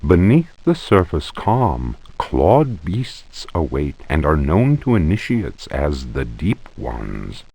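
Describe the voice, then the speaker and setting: A man's voice: a bass voice, huge pitch swings, slow, gravelly, few pauses, mellow, husky, heavily acted. A man playing a grave narrator reading an ominous occult manuscript aloud in a solemn, theatrical game performance. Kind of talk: dramatic scripted reading of arcane text.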